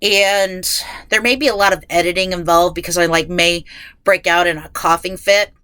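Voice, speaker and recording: A woman's voice: fairly low pitch, fairly even intonation, moderate pace, a bit bright, clear, resonant tone, no room sound. A woman hosting a casual, chatty solo podcast, sounding nasal and congested from a head cold, rambling along in a self-deprecating way, recorded inside a closet. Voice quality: nasal, stuffed-up. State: getting over a head cold. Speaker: podcast host.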